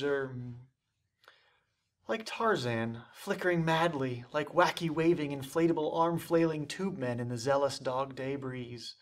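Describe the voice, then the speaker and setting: Theatrical young man reciting mock-serious poetry. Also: on the high side. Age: young adult. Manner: theatrical, deliberate, with dramatic pauses and swells. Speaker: performer reciting bad poetry. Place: indoors, dark studio stage set.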